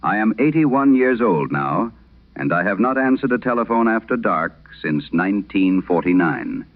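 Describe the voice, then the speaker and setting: A man reading as an elderly woman, grave and low. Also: animated, dry recording. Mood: grave, confiding. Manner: measured, grave, literary first-person storytelling. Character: eighty-one-year-old woman recounting her haunted nights as telephone operator. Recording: muffled band-limited old radio sound.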